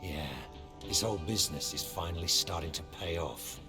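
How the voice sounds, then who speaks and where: An actor playing a gruff, impatient bounty hunter in a video game, talking in a terse, blunt way. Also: male voice, sits low, moderate pace, music in the background. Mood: grim, terse. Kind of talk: video game cutscene acting.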